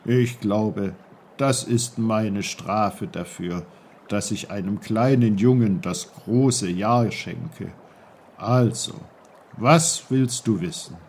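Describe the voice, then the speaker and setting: A man voicing a gruff, grumbling old uncle with a short temper, in a storybook reading. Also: on the low side, slow pace, darkish tone, slight background noise, pitched below the performer's own voice.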